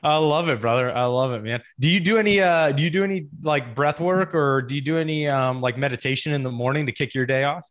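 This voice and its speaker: A man's voice: fairly high pitch, fast talker, hardly any pauses, fairly bright tone. A male podcast host chatting in an upbeat, joking, slangy way with his guest. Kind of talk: casual interview chat.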